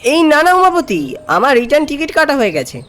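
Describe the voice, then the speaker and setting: A man's voice: extremely high pitch, wildly swooping pitch, brisk, fairly bright tone, heavily acted. An actor playing a curious, polite male office junior who narrates his own horror story. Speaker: narrator and character. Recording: music behind the voice. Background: background music.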